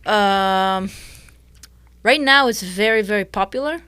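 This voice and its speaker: A young woman from Israel, a fighter guest answering interview questions thoughtfully, pausing often. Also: medium pitch, sharp tone, clean tone, no room sound. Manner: thoughtful, with frequent pauses and fillers.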